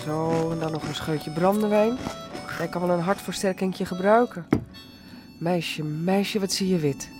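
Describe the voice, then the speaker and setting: A woman's voice: on the low side, a little slow, over music, close to an everyday voice. An actress playing a caring, soothing and chatty middle-aged village mother in a naturalistic radio drama. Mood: motherly concern. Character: motherly, caring, hopeful older village woman.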